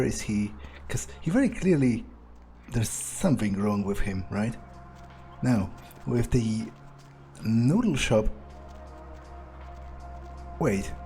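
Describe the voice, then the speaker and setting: A man's voice: medium pitch, mellow. A man doing in-character video game commentary, thinking aloud in an amused, sardonic, casually sweary way over game music.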